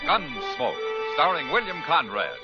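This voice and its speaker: A man's voice: fairly high pitch, very animated, unhurried. A man announcing a 1950s radio western in a dramatic scripted style. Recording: dull old radio, music behind.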